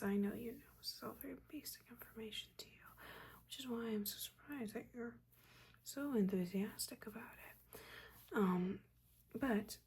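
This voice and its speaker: A woman's voice: medium pitch, a little mellow. Whispering woman as a stern witch mentor. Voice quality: breathy, mostly whispered. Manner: scolding, halting, dryly exasperated. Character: stern, disappointed witch mentor, nagging but secretly caring. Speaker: ASMR roleplay performer. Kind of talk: soft-spoken, whispered ASMR roleplay. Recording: audible background ambience noise.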